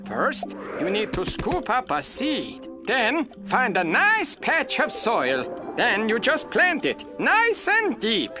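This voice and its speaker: A man's voice: very high voice, wildly swooping pitch, slow pace, full character voice. A man voicing a cheerful, naive, brainwashed alien fungus gardener in a game cutscene, chirpy and eager. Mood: chipper, helpful. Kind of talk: animated game cutscene acting. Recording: music behind voice.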